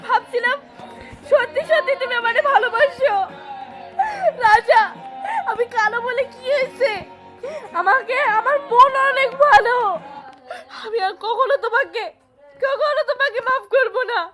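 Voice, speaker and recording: Heartbroken little cartoon girl, insanely high and squeaky, bangladeshi accent, faint music. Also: a little slow, pauses often, fairly bright tone. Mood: hurt, tearful. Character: young dark-skinned girl, heartbroken and wronged. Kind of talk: amateur cartoon dubbing in colloquial Bengali.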